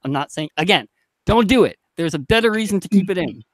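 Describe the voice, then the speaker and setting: A man speaking casually as a podcast panelist, halting and correcting himself as he thinks aloud. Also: high voice, animated, no echo.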